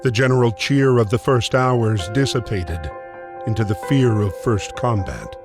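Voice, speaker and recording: An actor playing the solemn narrator of a wartime story in a video game, in a scripted style. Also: male voice, mid-range voice, unhurried, natural voice. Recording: music behind the voice.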